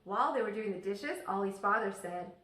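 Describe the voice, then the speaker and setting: A woman teacher reading a children's story aloud expressively, pausing to ask her listeners questions. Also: medium pitch, expressive, fairly bright tone. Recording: echoey room, distant microphone.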